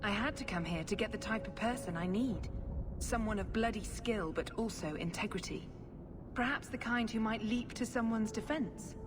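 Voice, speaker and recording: An actress playing a pious, scheming middle-aged sister in a video game, speaking in measured, formal phrases. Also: female voice, medium pitch, music in the background, lightly acted. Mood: composed, grateful. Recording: long hall reverb, clear background noise.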